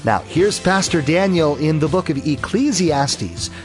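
A male announcer introduces the program in a smooth delivery. His pitch moves a lot and sits a little high. Music plays faintly under him. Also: animated, a little slow.

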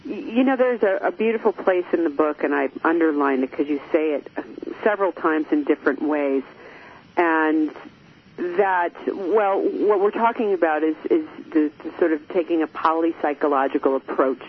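A man's voice: fairly high pitch, moderate pace. A man hosting a radio interview who affirms his guest, thinks aloud and checks that he has understood. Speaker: radio show host. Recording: tinny phone-line sound with faint hiss.

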